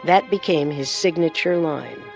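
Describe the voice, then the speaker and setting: A woman co-hosting a scripted true-crime programme, narrating in a measured, serious way with music behind her. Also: deep voice, vocal fry. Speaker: co-host narrator.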